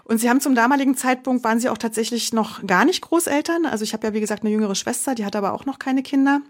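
A woman's voice: a little high, quick pace. A German woman from Berlin in her forties, speaking candidly and reflectively as an interview guest, often restarting her sentences. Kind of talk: personal interview.

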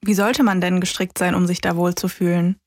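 A woman's voice: on the low side, flat delivery, brisk, a little mellow, no room sound, studio quality. A woman co-hosting a podcast, chatting and asking questions in a casual style. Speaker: podcast co-host. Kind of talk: casual interview questions and chat.

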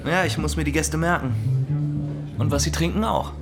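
An actor playing a casual, streetwise barkeeper in a radio play, laconic and familiar. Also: male voice, a little high, moderate pace, close to an everyday voice. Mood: casual, knowing. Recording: loud music behind the voice.

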